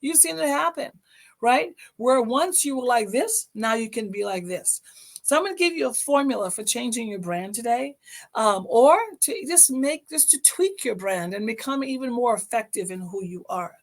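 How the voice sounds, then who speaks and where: A middle-aged woman with a hoarse voice hosting her own motivational podcast, speaking encouragingly and directly to her listeners. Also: on the high side, expressive, moderate pace. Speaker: podcast host.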